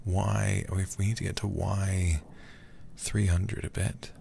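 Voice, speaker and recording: A man with a very low voice talks softly and intimately into a close microphone while streaming a game. His speech crackles with vocal fry and often slips toward a whisper. Game ambience and clear noise sit behind him. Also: little pitch movement, slow pace. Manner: soft-spoken, often dropping to a whisper. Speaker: livestreamer. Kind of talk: ASMR game livestream, soft close talk. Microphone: close ASMR microphone.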